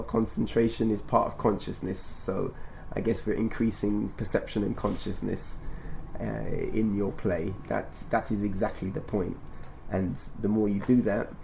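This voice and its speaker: A man with a low, creaky voice and a mellow tone hosts a conversational podcast. He explains things in a measured, instructive way, repeating and rephrasing as he goes. There is some background noise and ambience on the recording. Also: poor audio. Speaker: radio show and podcast host. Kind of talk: conversational interview, explaining and prompting.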